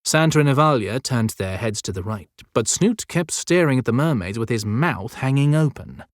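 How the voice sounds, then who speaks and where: A man's voice: mid-range voice, lively intonation, normal speed, dry recording, studio quality. A man narrating a scripted adventure story as an audiobook narrator.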